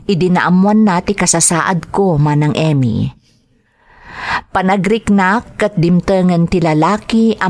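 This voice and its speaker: A woman's voice: lowish voice, a little mellow. An actress playing an earnest single mother in an Ilocano radio drama, with an Ilocano accent and an emotive, heartfelt delivery. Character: Minda, earnest Filipino single mother in love, prone to worry.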